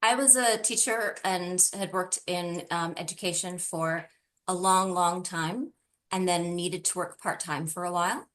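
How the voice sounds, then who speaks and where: A middle-aged woman speaks as a guest in a conversational interview. Her voice sits a little low. She is earnest and puts emphasis on the points she cares about. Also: measured pace, fairly bright tone. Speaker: interview guest, tutoring business founder.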